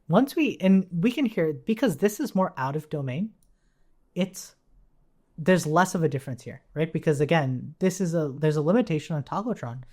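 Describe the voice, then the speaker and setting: Low-voiced, animated woman teaching informally. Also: normal speed. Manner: informal, checks in with "right?". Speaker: tutorial instructor. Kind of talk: casual technical screencast explanation. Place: indoors at a computer.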